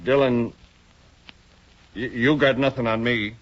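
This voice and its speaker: An actor playing a defiant, blustering American tough in a 1950s radio western, boastful and faltering. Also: male voice, medium pitch, fairly even intonation, takes it slowly. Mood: defiant, cornered. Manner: boastful, stammering when cornered. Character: blustering, defiant Old West tough gunman. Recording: muffled radio recording, loud music behind.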